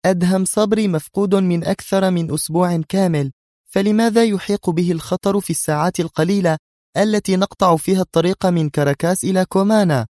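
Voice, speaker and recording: A man voicing a nervy, scornful young woman intelligence agent in an audio drama, with a sharp, sarcastic manner. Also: high voice, brisk, no echo, clean studio sound, voice pushed up, lightly acted. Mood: stern, scornful.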